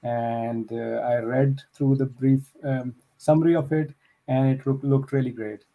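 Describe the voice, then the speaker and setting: A man's voice: mid-range voice, steady tone, unhurried, narrow, tinny audio. A man, a tech entrepreneur from Pakistan, speaking as a friendly, earnest guest on a video call.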